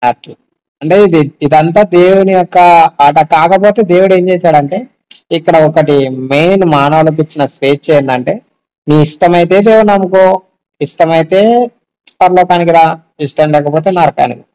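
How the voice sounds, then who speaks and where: Male, fairly high and very mellow, casual explanatory phone talk with rhetorical questions. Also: moderate pace, poor audio. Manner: explanatory, asks rhetorical check-back questions. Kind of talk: casual phone discussion of faith. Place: recorded phone call. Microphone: telephone.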